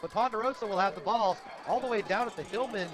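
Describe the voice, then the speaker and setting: An American man doing live radio play-by-play of a football game in an energetic, conversational way. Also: high voice, fast talker, over music. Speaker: radio play-by-play sports announcer. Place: outdoors at a high school football game. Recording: outdoor stadium broadcast with crowd noise. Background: crowd at a stadium.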